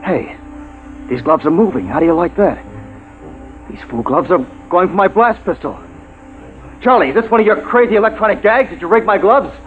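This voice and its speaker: A man's voice: high-pitched, lively intonation, normal speed, long pauses, faint music behind. An actor playing a grumbling, scatterbrained enlisted spaceman in an old-time radio drama with tinny sound. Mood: baffled, alarmed.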